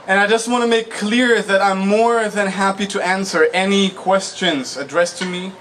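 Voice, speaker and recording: A man in his twenties from Israel giving a public speech as a guest speaker, deliberate and articulate, phrase by phrase. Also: sits high, steady tone, fairly bright tone. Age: 20s. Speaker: guest speaker, political activist. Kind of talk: public speech, personal testimony. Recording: noisy live event recording.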